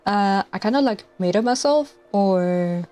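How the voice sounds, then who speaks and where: A young woman in her twenties, soft-spoken and hesitant, chatting on a live stream while gaming, with a mic clipped to her collar. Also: medium pitch, expressive, measured pace. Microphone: lapel mic clipped to collar. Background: faint game music.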